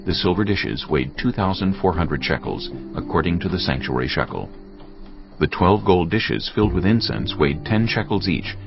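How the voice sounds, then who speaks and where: A man narrating a dramatised scripture audiobook solemnly and deliberately, phrase by phrase. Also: sits low, vocal fry, dull audio. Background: music.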